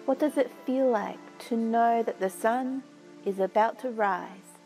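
Female meditation guide, slightly high and mellow, measured and soothing, music behind. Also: creaky, rough recording. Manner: soothing, measured, with long pauses.

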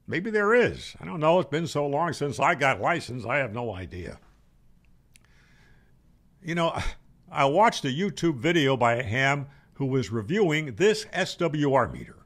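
A man's voice: medium pitch, big pitch swings, measured pace, fairly bright tone. An American man in his seventies giving a casual, opinionated, wry explanation to camera in a conversational way. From United States. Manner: conversational, opinionated, wry, asks rhetorical questions. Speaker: ham radio video host. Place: home room, indoors. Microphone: desk microphone on boom arm, close.

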